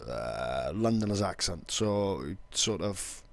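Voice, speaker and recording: A man's voice: low voice, big pitch swings, slow pace. A young man with a Welsh accent, casually explaining in a rambling, sweary way with lots of fillers. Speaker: vlogger.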